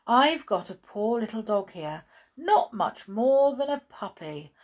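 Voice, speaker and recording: A woman voicing a kindly, gentle little fat man in a children's story, speaking earnestly and with sympathy. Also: mid-range voice, pitched above the performer's own voice. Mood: brisk, kindly.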